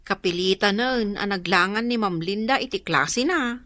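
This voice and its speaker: A woman's voice: mid-range voice, moderate pace, fairly bright tone, no room sound, close to an everyday voice. A woman playing a gossipy grown daughter in an Ilocano radio comedy drama.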